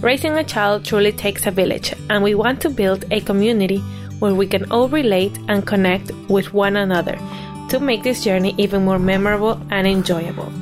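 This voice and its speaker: A woman hosting a parenting podcast, her voice sitting at an everyday middle pitch. She asks her questions eagerly and reacts fast to her guest's answers. Faint music plays behind her. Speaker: podcast host.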